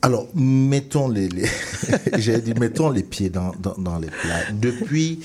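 A man hosting a conversational interview, talking in an animated, digressive way and circling back to rephrase. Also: mid-range voice, a little slow, breathy edge. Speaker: host interviewing a guest.

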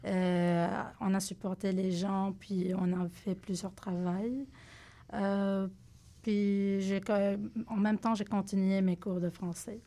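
Woman speaking halting French. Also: mid-range voice, level tone, mellow. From Lebanon. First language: Arabic. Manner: halting, searching for words in a second language. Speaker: guest. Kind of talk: radio interview answers.

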